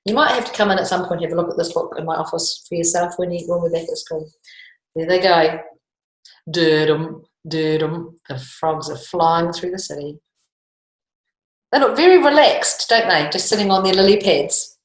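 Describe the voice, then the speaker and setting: A woman's voice: fairly low pitch, normal speed. Echoey New Zealand woman reading to children. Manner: animated, playful, asks listeners questions; often chuckles as she talks. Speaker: school teacher reading picture books to children. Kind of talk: read-aloud storytime, talking children through a picture book. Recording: echoey, roomy home-style recording.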